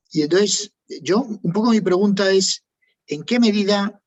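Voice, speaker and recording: Husky man. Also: on the high side, no room sound, poor audio. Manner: rambling, tag questions, many restarts. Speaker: audience member asking a question. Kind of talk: long thoughtful question.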